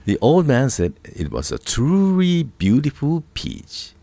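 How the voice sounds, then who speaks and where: A man narrating a scripted folk tale with a deliberate storytelling delivery. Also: mid-range voice, wildly swooping pitch, gravelly, very noisy.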